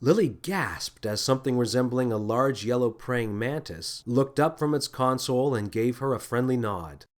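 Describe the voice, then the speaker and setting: Expressive male storyteller with lively pitch. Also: normal speed, few pauses. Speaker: author and narrator. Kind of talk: scripted children's story narration.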